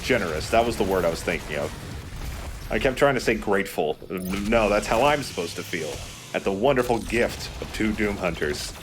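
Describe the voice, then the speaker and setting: Low-voiced man giving dry gaming commentary. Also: animated, moderate pace, noisy background. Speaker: let's play host and player. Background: video game ambience.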